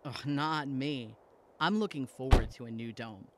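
An actor playing a detective's easygoing, teasing, grumbly best friend in an audio drama. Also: male voice, medium pitch, unhurried, background noise, natural voice.

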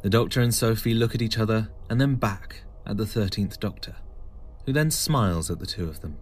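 A man's voice: fairly low pitch, brisk, background noise. A man reading scripted narration for an audio drama.

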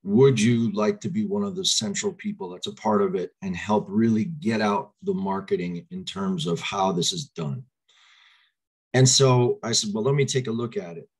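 Slightly hoarse man presenting online. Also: fairly low pitch, normal speed. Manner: upbeat, coaxes audience to reply in chat. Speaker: crypto presenter. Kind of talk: webinar presentation. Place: small home room, indoors. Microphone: webcam on video call.

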